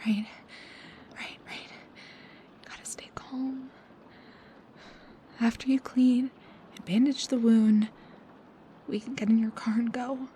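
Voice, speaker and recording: Slow-paced, high-strung young research assistant acted by a woman. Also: flat delivery, dark tone, a little hiss or hum. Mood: hushed, tense. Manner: halting, stammering, dramatic. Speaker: audio roleplay performer. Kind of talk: intimate one-sided audio roleplay acting.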